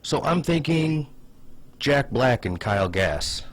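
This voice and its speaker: A man hosting a casual comedic commentary video, pitching ideas in a wry, sarcastic, opinionated way. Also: sing-song, slow pace.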